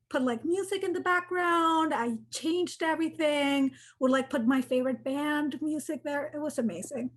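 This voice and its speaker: High-voiced woman in her thirties. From Dominican Republic. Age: 30s. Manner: chatty, laughs often, self-correcting. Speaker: panelist artist. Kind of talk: casual panel chat. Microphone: video call.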